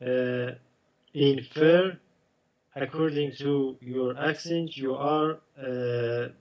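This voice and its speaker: A man's voice: mid-range voice, steady tone, drawn-out words, muffled recording. A man learning English, speaking hesitantly, repeating words and searching for phrasing. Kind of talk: lesson conversation.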